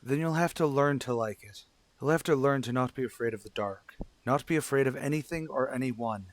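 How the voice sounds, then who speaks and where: Male actor as stern father, formal, measured, admonishing, old-time radio drama, dry close recording. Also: medium pitch, no echo, natural voice. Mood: stern, disappointed. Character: stern, demanding 1940s father scolding his young son.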